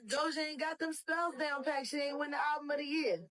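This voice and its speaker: A woman's voice: high voice, little pitch movement, a little fast, a loud crowd. A woman who says she has a husband and children, giving sassy, mocking commentary with lots of emphasis. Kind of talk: animated commentary.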